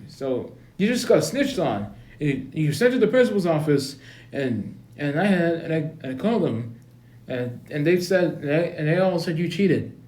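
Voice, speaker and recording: A man's voice: very high voice, darkish tone, pitched above the performer's own voice. Casting: man playing a bossy big brother, lecturing and stern, in an echoey room. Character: bossy older brother, scolding. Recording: roomy echo.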